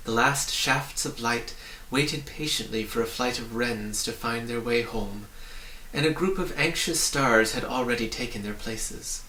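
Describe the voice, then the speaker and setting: A man with a medium-pitched voice narrates a storybook. He is recorded indoors in a roomy space, a little away from the mic, with faint hiss behind him. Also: a little slow, bright, forceful tone, far from the mic. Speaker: audiobook reader.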